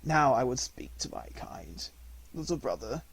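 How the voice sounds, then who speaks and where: A man reading aloud, voicing a dying old male wolf who is proud and weary and speaks in gasping, halting, coughing phrases. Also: medium pitch, wildly swooping pitch, creaky, breathy edge, no echo, exaggerated voice, low-quality recording. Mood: solemn.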